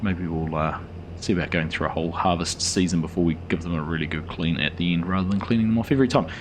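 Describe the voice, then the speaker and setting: A man, a bit hoarse, giving relaxed, rambling commentary over a farming game. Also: low-pitched, quick pace, background noise. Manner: relaxed, rambling with frequent fillers. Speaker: gaming channel host. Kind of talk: casual gameplay commentary.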